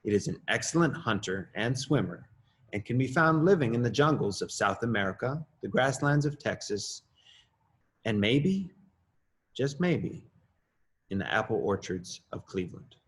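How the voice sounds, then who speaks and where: A middle-aged man, an assistant principal, reading his own children's story aloud with lively rises in pitch. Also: normal speed. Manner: expressive, lively rises on questions and exclamations.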